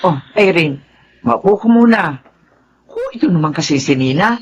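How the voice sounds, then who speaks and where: A woman's voice: lowish voice, animated, moderate pace, highs cut off, a little hiss or hum. A Filipino woman playing a fussing, exclamatory mother and grandmother in a radio drama. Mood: fussing, concerned. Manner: fussy, exclamatory.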